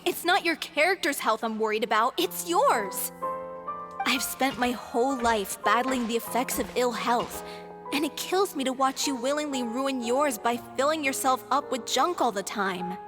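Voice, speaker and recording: Fussy young woman who nags, anime game, high voice, music behind. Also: lively intonation, moderate pace. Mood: scolding, worried. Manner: nagging, emphatic. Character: fussy, scolding, caring young actress, once sickly.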